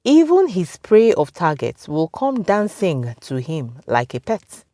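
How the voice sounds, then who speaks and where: A woman with a Nigerian accent narrating a scripted folktale as a storyteller, with dramatic, deliberate phrasing. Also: lowish voice, huge pitch swings, dark tone, faint music behind.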